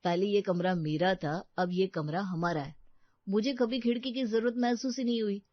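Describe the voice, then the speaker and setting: A woman's voice: mid-range voice, fairly even intonation, moderate pace, no echo, lightly acted. A woman voicing a lovestruck, indulgent young man in an audio story, mild and accommodating. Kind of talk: Hindi audio story acting. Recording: slightly dull, dry studio recording.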